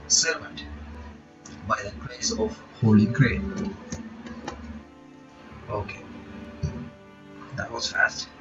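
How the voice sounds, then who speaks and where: A man reading a visual novel aloud in a halting way, stopping for casual asides to his viewers. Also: fairly low pitch. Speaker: reaction channel host. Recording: dull lo-fi audio with music behind.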